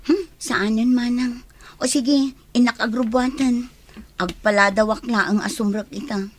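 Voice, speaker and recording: A woman's voice: fairly high pitch, steady tone, a little slow. A woman playing a heartbroken young Ilocano woman. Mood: dejected. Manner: tearful, plaintive. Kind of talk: hobby radio drama.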